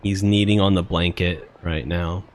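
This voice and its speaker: A man's voice: low voice, steady tone, normal speed, slight background noise. A man chatting casually and informally as he reacts live to a game he is streaming. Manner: informal, offhand.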